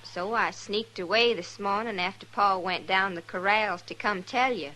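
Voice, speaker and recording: A woman's voice: medium pitch, moderate pace, pushed and bright, natural voice, low-quality recording. A woman playing an earnest, naive young ranch girl with a rural American Western accent in a 1950s radio western. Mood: earnest, worried. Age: young adult. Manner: plain-spoken, artless, literal.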